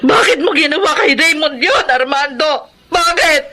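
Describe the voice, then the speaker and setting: An actress playing a hurt, protective older sister in love in a Filipino radio drama, emotional and confrontational. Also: female voice, high voice, lively intonation, moderate pace, music in the background. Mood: hurt, indignant.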